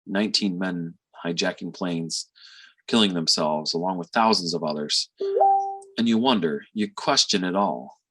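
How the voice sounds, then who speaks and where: An actor playing a weary, tender middle-aged father and physician in a reflective, halting stage monologue. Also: low-pitched, sharp tone, low-quality recording. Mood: grave, earnest. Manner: reflective, halting with sighs and pauses.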